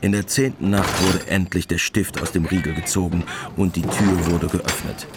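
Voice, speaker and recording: A man's voice: deep voice, steady tone, moderate pace, very noisy. A man narrating a scripted radio drama. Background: music.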